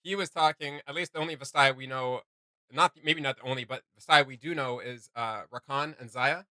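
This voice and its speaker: A man hosting a reaction video, chatting casually in an animated, rambling, speculative way. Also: medium pitch, fairly quick, no room sound. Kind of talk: casual chat, reacting to game voice lines.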